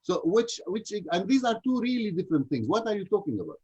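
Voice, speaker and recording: A man's voice: high-pitched, big pitch swings, quick pace, warm tone, slightly rough, lo-fi sound. A male panelist in an online debate who questions hard and tells anecdotes with many restarts.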